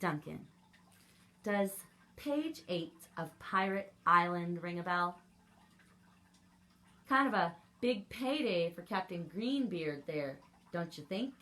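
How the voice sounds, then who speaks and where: A woman's voice: lowish voice, animated, slow, bright, forceful tone, heavily acted. A woman voicing a sarcastic, exasperated crayon scolding its owner in a children's storybook reading. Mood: sarcastic, reproachful.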